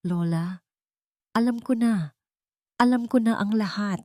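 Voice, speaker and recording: A woman's voice: mid-range voice, a little slow, darkish tone, no room sound, studio quality, pitched above the performer's own voice, lightly acted. A woman playing a determined young granddaughter in an audio story, earnest, firm and protective. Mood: firm resolve.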